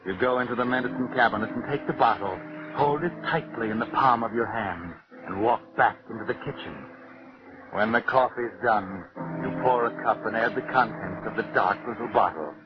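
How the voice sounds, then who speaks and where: An actor playing a sinister, insinuating narrator who taunts a would-be killer in dramatic 1940s radio mystery style. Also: male voice, on the low side, over music, natural voice. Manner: dramatic, taunting, deliberate second-person storytelling. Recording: dull, noisy 1940s radio recording.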